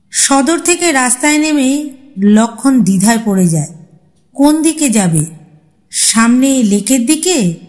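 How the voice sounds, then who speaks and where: A woman narrating a horror story as a storyteller, with a suspenseful, expressive dramatic reading. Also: sits high.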